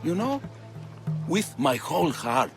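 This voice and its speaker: A man's voice: on the high side, sing-song, slow pace, full character voice. An actor playing a defensive, ashamed old man in a game cutscene. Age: older. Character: guilty old male client, defensive and ashamed. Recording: game cutscene with loud music. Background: music.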